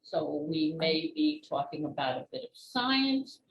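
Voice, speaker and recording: An older woman, in her sixties or beyond, answering interview questions as an author and former teacher in a measured, explanatory way with pauses between short phrases. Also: low voice, very animated, lo-fi sound. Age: 60s or older. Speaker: children's book author and retired teacher being interviewed. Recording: roomy echo with clear background noise.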